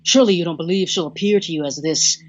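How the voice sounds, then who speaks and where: A woman playing a courtly, probing captor in an audio drama, speaking formally and deliberately with a theatrical, wistful politeness. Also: on the low side, lo-fi sound, natural voice.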